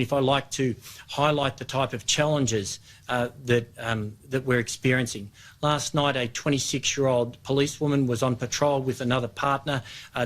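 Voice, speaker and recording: A man's voice: mid-range voice, fairly bright tone. An Australian man speaking as a police official at a press briefing, formal and matter-of-fact.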